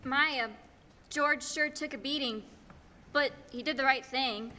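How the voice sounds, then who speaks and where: A woman's voice: high-pitched, slow pace, pushed and bright, full character voice. A woman playing a curious, sympathetic listener in a stage radio play. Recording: reverberant hall stage recording.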